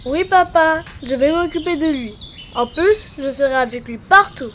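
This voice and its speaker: High-pitched woman voicing an eager little girl. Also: normal speed, fairly bright tone, a little hiss or hum. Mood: delighted, tender. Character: affectionate young girl, cartoon daughter.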